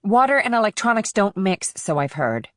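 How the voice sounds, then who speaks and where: A woman's voice: mid-range voice, very animated, moderate pace, a bit bright, no room sound, studio quality. A woman playing a sarcastic, guarded, streetwise teenage girl in audiobook dialogue, with a flippant manner.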